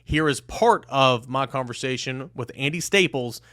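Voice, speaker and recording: Guy hosting a sports podcast, reads ads and chats to listeners, medium pitch, no room sound. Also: normal speed, pushed and bright, no echo, clean studio sound.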